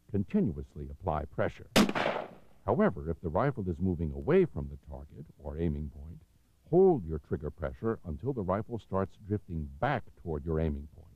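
A deep-voiced American man narrates a training film in a measured, authoritative way. His pitch moves in lively steps as he enunciates each instruction. The sound is slightly dull, like a 1990s videotape soundtrack. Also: breathy edge, no room sound.

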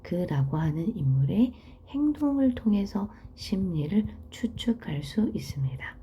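A woman teaching literature, reading a story aloud and explaining it in a soft, half-whispered delivery. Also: medium pitch, very animated, measured pace, slight background noise.

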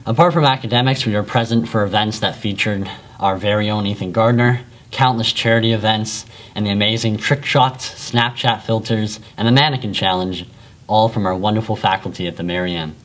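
A young man speaks with a somewhat low voice, giving a prepared valedictorian address. His delivery is earnest and measured, recorded in a school library. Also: fairly even intonation, a bit bright. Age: young adult. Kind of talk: prepared graduation speech.

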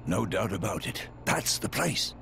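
An actor voicing a noble, loyal tiger companion in a game, formal and courteous. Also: male voice, lowish voice, very animated, gravelly, background noise, full character voice. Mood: calm, courteous. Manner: formal, measured, deferential. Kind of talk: video game cutscene acting.

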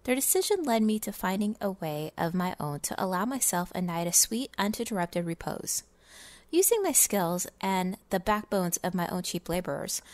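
A woman's voice: medium pitch, no echo, lightly acted. Woman playing a theatrical, sneering miser. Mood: scheming, aggrieved. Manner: theatrical, pompous, scornful delivery. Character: miserly, sneering, self-satisfied businessman narrating holiday memoir. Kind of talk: dramatic reading of fantasy game lore.

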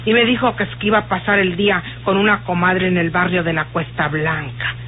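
Husky, jealous gossiping woman. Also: lowish voice, steady tone, brisk. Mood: jealous, suspicious. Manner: folksy, hesitant, insistent. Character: jealous, gossipy, rustic woman confiding her suspicions. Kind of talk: old radio drama acting. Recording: muffled tinny old radio recording.

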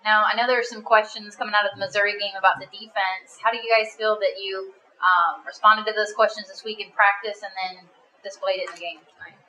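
A woman's voice: mid-range voice, fairly even intonation, quick pace, pushed and bright, rough recording. A woman reporter asking players questions at a press conference in a casual, conversational way.

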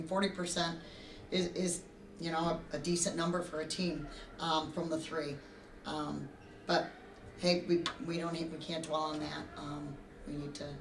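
Middle-aged woman coach, roomy distant recording. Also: low-pitched. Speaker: college basketball head coach. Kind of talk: postgame press conference remarks. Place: indoor room, seated at table before backdrop. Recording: roomy, distant mic, noticeable background noise.

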